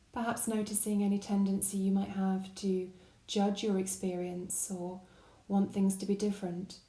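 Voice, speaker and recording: Woman, meditation guide, soft mellow tone, gentle calm phrasing, roomy reverberant sound. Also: medium pitch. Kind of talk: guided body scan meditation narration.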